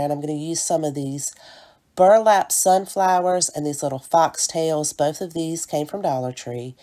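Stuffy-nosed woman with a low, mellow voice. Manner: chatty, apologetic, fond of "little" and "cute". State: stuffy from a cold. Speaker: DIY craft channel host. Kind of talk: crafting tutorial voice-over.

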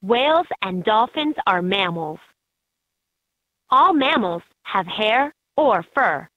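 A woman's voice: mid-range voice, big pitch swings, slow, bright, forceful tone, dry recording, exaggerated voice. A woman voicing an upbeat guide in a children's educational animal game, in scripted narration with slightly dull game audio.

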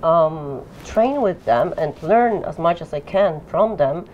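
A woman's voice: sits low, expressive, slow pace, muffled recording, a little hiss or hum. A middle-aged woman from Poland, a guest explaining permanent makeup in an interview at a conference, with a friendly, agreeable manner. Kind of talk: interview answers. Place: conference room, indoors.

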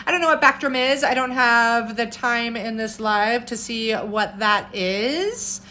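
A woman in her thirties with a loud voice, an herbalist chatting casually on a phone live stream at home and answering viewer comments in an animated way. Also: on the high side, measured pace, a little hiss or hum. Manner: animated, jumps between topics and viewer comments, upbeat. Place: home room with bookshelves, indoors. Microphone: phone camera at arm's length.

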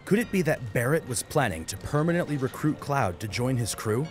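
A man's voice: mid-range voice, very animated, a little fast. A man narrating a scripted story dramatically as an audiobook reader, with music behind him.

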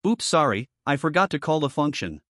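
Man, tutorial presenter, fairly high voice, scripted narration, dry close recording. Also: sing-song, a little fast, fairly bright tone, dry recording.

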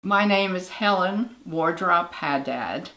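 An older woman from Glasgow recalling bombing in her childhood, pausing thoughtfully with "um". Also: lowish voice, lively intonation, a bit bright. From Glasgow, Scotland. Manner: slow, with thoughtful "um" pauses. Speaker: interviewee. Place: indoor living room, on a sofa.

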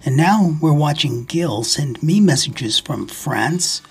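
A man giving scripted instructional narration as the host of a ham radio video, speaking in a measured, explanatory way. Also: a little high, lively intonation, gravelly, husky, faint music behind.

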